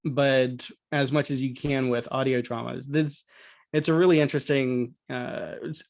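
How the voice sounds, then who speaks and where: Guy explaining stuff on a podcast, casual chat, medium-low voice, really dry sound. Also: fairly even intonation, normal speed, no echo. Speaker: podcast guest, audio drama producer.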